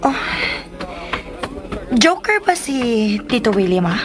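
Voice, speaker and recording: An actress playing a playful, teasing young daughter in a Filipino radio drama, with a Filipino accent. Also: female voice, on the high side, very animated, slow, over music.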